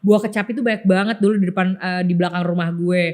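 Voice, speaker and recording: A young adult woman with a Jakarta Indonesian accent chatting casually as a podcast host, animated and slangy, dropping in English phrases. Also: lowish voice, fast talker. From Jakarta area, Indonesia. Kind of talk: casual nostalgic chat.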